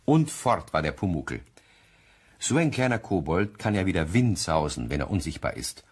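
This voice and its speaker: A man narrating a children's radio play in a deep, husky voice, telling the tale in a leisurely storybook way. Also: big pitch swings, normal speed, full character voice. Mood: storytelling.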